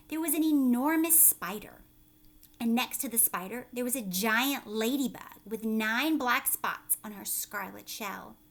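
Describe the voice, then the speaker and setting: A woman with a fairly high, very lively voice reads a children's book aloud like a teacher. She pauses for drama and stops to explain things and put questions to her young listeners.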